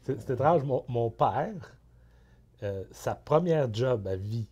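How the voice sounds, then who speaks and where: Husky relaxed male interviewer. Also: mid-range voice, slow pace, dull audio. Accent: Quebec French. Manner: chatty, relaxed, colloquial. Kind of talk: casual interview.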